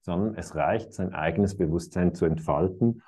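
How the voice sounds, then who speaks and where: A man's voice: sits low, normal speed, warm tone, slightly rough. A man in his fifties talking reflectively in conversation, musing aloud and chuckling now and then. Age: 50s.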